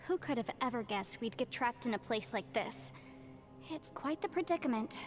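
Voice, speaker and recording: A woman's voice: fairly high pitch, lively intonation, normal speed, faint music behind, lightly acted. A woman voicing a cheerful, earnest young scholar in a video game, keeping an optimistic outlook. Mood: hopeful.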